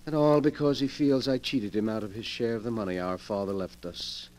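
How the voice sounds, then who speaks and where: An actor playing a sly, wheelchair-bound rich collector of murder relics in a pompous, insinuating 1940s radio drama style. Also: male voice, on the low side, fairly even intonation, fairly quick, darkish tone, slight background noise, natural voice, low-quality recording. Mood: smug. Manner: pompous, insinuating, chuckling menace.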